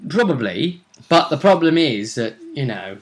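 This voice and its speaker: A man's voice: medium pitch, big pitch swings, moderate pace. A man, hosting a vidcast and giving a chatty, casual recap of a tabletop role-play tournament. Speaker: vidcast host.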